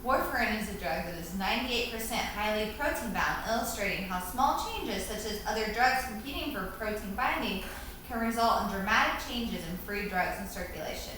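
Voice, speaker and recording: A woman's voice: medium pitch, lively intonation, moderate pace, fairly bright tone. A woman reading out a scripted educational presentation as a co-presenter, formal and recited in delivery. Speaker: co-presenter answering questions. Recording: very echoey room, faint noise.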